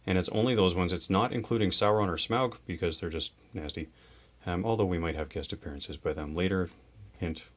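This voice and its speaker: A man hosting a tabletop wargame show, chatting casually and enthusiastically about models, dice and rules. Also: sits low. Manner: chatty, enthusiastic, quick asides. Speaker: host of a tabletop wargame channel.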